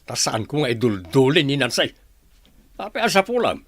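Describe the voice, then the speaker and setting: A man's voice: mid-range voice, big pitch swings, normal speed, fairly bright tone, no echo. An actor playing an indignant, defensive village man in an Ilocano Filipino radio comedy drama.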